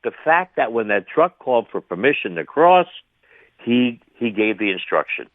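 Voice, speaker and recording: An older man giving his view as an interview guest over the phone, speaking in a halting, reflective way and repeating phrases for emphasis. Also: mid-range voice, big pitch swings, no echo. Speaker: retired air traffic controller, interview guest. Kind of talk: spontaneous radio interview commentary. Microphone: phone call to a radio show. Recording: tinny, band-limited phone line.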